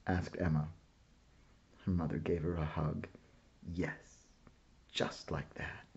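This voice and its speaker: Slow gravelly man reading a storybook. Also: little pitch movement, lo-fi sound, slight background noise. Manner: slow, gentle reading with lifted pitch for child characters' lines. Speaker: picture book reader. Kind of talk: children's book read-aloud.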